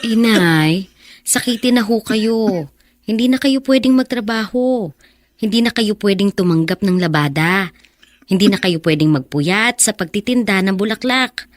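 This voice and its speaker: An actress playing a shy, dutiful nineteen-year-old with a Filipino accent, polite and earnest, in a radio drama. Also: female voice, mid-range voice, animated, a little slow, no echo, clean studio sound, close to an everyday voice. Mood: pleading, sorrowful.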